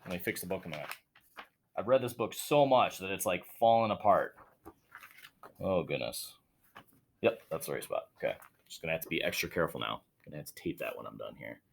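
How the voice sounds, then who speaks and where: A man's voice: low-pitched, fairly quick, vocal fry, pauses often, slight background noise. A man hosting a picture-book read-aloud for children, telling the story expressively and adding chatty asides to his young listeners. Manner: expressive, dramatic storytelling, addressing children directly. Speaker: children's read-aloud host, teacher or school staff.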